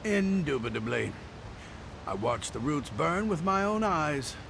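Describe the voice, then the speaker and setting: An actor playing an unflappable, dryly wry adventuring alchemist in a video game, speaking in measured, formal phrases. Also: male voice, on the high side, animated, gravelly, noisy background. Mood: satisfied, wry. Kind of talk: video game character acting.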